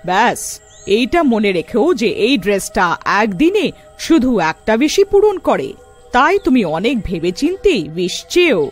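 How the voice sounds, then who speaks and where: A woman's voice: a little high, big pitch swings, moderate pace, soft background music. A woman voicing a gracious, authoritative witch queen in a children's cartoon story, with a benevolent, commanding manner. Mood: pleased, gracious.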